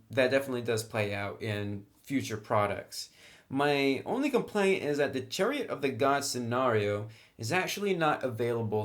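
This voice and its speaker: A man in a mid-pitched voice talks through a tabletop game review. He is casual and enthusiastic, restarting sentences and leaning on "really" and "actually.". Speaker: product reviewer.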